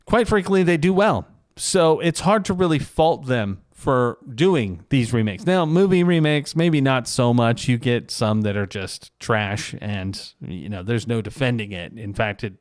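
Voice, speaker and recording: A man's voice: mid-range voice, measured pace, hardly any pauses, no room sound. A man talking casually and conversationally as a vlogger, giving his opinions in a self-deprecating monologue.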